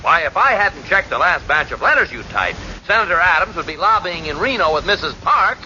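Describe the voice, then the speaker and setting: An actor playing an exasperated, irascible lawyer boss in a 1940s radio comedy, blustering and sputtering. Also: male voice, a little high, very animated, brisk, pushed and bright, full character voice. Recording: muffled, noisy 1940s radio broadcast.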